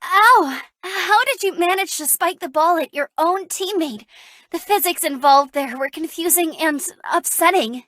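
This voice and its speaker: Bright, high-voiced sporty young woman. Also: measured pace, no echo, exaggerated voice. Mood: indignant. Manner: playful, teasing. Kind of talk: scripted dating game character dialogue.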